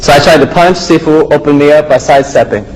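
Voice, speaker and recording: A man's voice: fairly high pitch, quick pace, slight background noise, rough recording. A man giving plain, explanatory commentary as he demonstrates martial arts drills as a student presenter.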